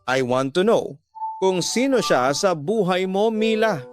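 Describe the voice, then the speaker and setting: A man's voice: high-pitched, lively intonation, a little slow, faint music behind, voice pushed up. A Filipino man playing an intense, jealous, lovestruck young suitor in a radio love drama. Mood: pleading, intense.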